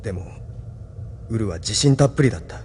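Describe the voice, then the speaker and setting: A man's voice: on the low side, lively intonation, brisk, a little mellow, slight background noise, lightly acted. An actor playing an earnest, troubled young male pilot hero with an emotional manner in an audio drama. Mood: troubled, regretful. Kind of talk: Japanese audio drama acting.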